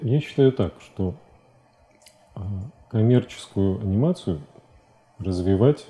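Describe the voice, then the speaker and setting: A man in his forties talking as a guest in a studio interview, halting and repeating words as he searches for phrasing. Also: fairly low pitch, lively intonation, mellow. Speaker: interview guest, animation director. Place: TV studio at a desk. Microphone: lapel mic.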